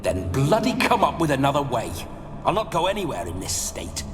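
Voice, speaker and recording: A man's voice: on the high side, huge pitch swings, normal speed. An actor playing a roguish, bawdy ghost of a dead nobleman in a video game, with theatrical, swaggering, old-fashioned speech. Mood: demanding. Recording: long reverb, music behind.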